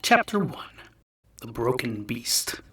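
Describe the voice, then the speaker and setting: A man narrating a comic fantasy tale as the show's host, theatrical, dropping to hushed whispers and rising to sudden bursts. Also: mid-range voice, very animated, slow, a little hiss or hum. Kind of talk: scripted audiobook narration.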